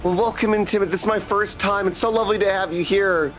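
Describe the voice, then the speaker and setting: High-pitched man chatting on a livestream. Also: steady tone, fast talker, music in the background. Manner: chatty, greets viewers by name. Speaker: game livestreamer. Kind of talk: casual livestream chat.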